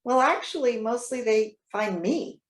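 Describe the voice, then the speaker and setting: A woman playing a trusting, excitable elderly widow in readers theater, talking in a chatty, halting, earnest way. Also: on the high side, lightly acted. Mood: excited, proud. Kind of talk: readers theater stage acting. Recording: roomy, echoing sound.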